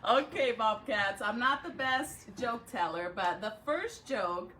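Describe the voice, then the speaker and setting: Expressive, higher-voiced woman talking to children. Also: a little hiss or hum. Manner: expressive, drawn-out phrasing for children. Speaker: school educator reading aloud to pupils. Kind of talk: read-aloud for children with chatty intro.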